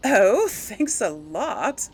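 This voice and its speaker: A woman's voice: medium pitch, husky, exaggerated voice. A woman role-playing a teasing, fond young noblewoman adventurer in fantasy game dialogue, playful and coy.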